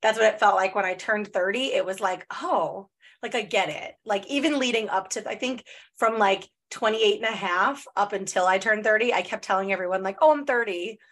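A woman's voice: mid-range voice, fairly bright tone. A woman in her thirties hosting a podcast, talking in a casual, chatty way full of "like" fillers. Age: 30s. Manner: chatty, lots of "like" fillers, quick bursts. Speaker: podcast host. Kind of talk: casual chat.

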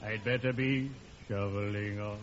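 An actor playing a solemn yet morbidly jolly undertaker, formal and drawn-out, in 1940s radio comedy. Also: male voice, on the low side, fairly even intonation, lightly acted. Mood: indignant. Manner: formal, deliberate, drawn-out delivery. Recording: muffled, very noisy old radio recording.

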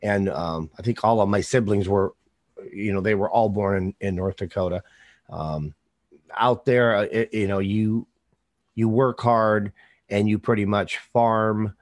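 A man from the American Midwest hosting an interview, talking casually and haltingly, with lots of fillers and sentences that trail off. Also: lowish voice, no echo, clean studio sound. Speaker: podcast host.

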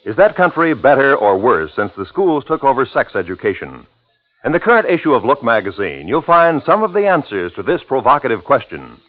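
A male commercial announcer with a mid-pitched, very animated delivery. He reads persuasively in a scripted 1950s radio advertising style. The recording is tinny and narrow. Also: fairly quick. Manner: rhetorical questioning, persuasive.

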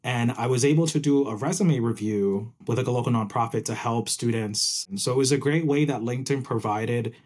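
A man's voice: medium pitch, a little fast, few pauses, darkish tone. A young man from Puerto Rico, raised in New Jersey, chatting eagerly as an interview guest and often tagging "right?" onto his points. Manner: enthusiastic, often ends with "right?". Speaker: interview guest, program manager. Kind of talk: casual interview chat.

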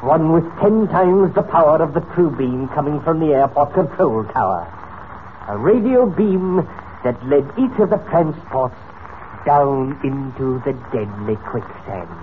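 An actor playing a smug, menacing criminal mastermind in 1940s radio style, oily, theatrical and condescending, on a tinny, noisy old recording. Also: male voice, on the high side, very animated, a little slow. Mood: menacing boasting. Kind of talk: 1940s radio serial acting.

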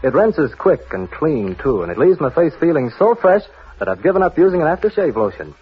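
A man's voice: fairly high pitch, very animated, brisk, husky. An American man reading a scripted testimonial for a sponsor in a 1940s radio advertisement, on an old broadcast. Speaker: baseball player giving a sponsor testimonial. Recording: dull, band-limited old radio broadcast.

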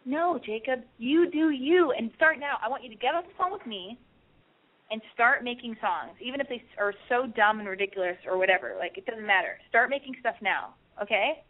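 A woman talks quickly in a slightly high voice, handing out blunt, bossy advice as the host of a call-in show. Her voice comes through narrow and boxy, like a telephone line. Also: lively intonation.

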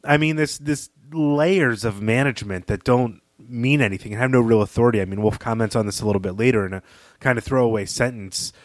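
A man's voice: medium pitch, a little fast, no room sound, clean studio sound. A man co-hosting a podcast, giving conversational commentary in an opinionated, emphatic way. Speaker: podcast co-host.

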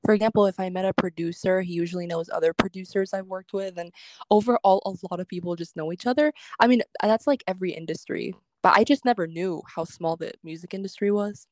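A chatty woman answering interview questions about her music, loose and rambling, full of fillers and restarts. Her voice sits at an ordinary pitch. The recording is dry, with no room sound. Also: fast, darkish tone, dry recording. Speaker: guest singer and social media creator.

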